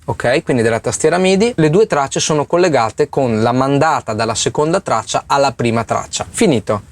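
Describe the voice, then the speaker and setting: A man hosting an informal step-by-step music software tutorial, explaining things and often checking in with short tags. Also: mid-range voice, moderate pace. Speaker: host of a music production tutorial channel.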